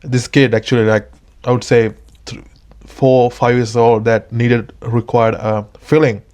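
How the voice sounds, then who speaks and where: A man from Sri Lanka hosts a relaxed interview, speaking in a voice of ordinary pitch. He keeps up a steady stream of short agreeing fillers and tells anecdotes in a loose, searching way. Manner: encouraging, many quick agreeing fillers. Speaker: podcast host. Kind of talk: casual interview. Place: room at a dental service workplace.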